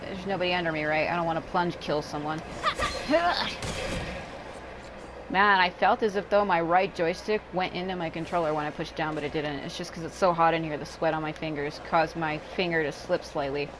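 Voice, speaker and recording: A woman's voice: low-pitched, steady tone, a little fast, background noise. A woman hosting a gaming channel, talking casually over her gameplay with rambling, joking asides. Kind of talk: casual let's-play commentary. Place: hot room at home.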